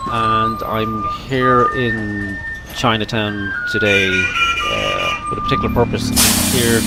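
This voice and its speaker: A man's voice: fairly low pitch, rough recording. A man hosting a mock on-location report, chatting loosely with plenty of fillers, indoors at a cultural centre. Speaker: host. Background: orchestra music playing.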